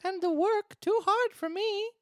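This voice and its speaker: A young marionette boy from a storybook, acted out by a woman, pleading in a whiny voice. The pitch sits very high and the sound is dry and close. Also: a little slow, dry recording. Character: Pinocchio, plaintive young boy marionette begging.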